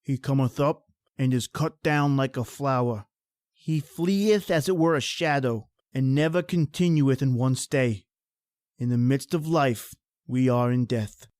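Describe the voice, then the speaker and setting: An actor playing a wry, world-weary painter with a morbid wit, in a theatrical old-time radio horror style. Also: male voice, mid-range voice, unhurried, a little mellow, no room sound, clean studio sound, lightly acted. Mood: solemn.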